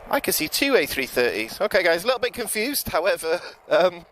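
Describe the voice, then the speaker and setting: A man with a British accent giving relaxed live commentary outdoors at an airport, chatting to viewers with frequent ums and self-corrections. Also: high voice, brisk, rough recording. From United Kingdom. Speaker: live plane-spotting commentator. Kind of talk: live-stream commentary, reading out viewer chat.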